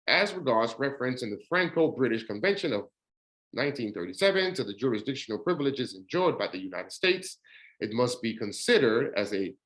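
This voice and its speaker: A man of ordinary middle pitch reads a legal judgement aloud. He stumbles, restarts and repeats words, and often breaks off to comment. When he explains, his voice climbs and quickens. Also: a bit bright, low-quality recording. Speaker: commentator reading a court judgement.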